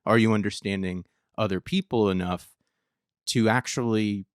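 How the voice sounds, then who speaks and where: A man's voice: lowish voice, normal speed, clear, resonant tone, no echo. Emphatic man in a dry podcast recording. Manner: reflective, emphatic, repeating phrases for stress. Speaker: podcast co-host. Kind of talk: casual discussion.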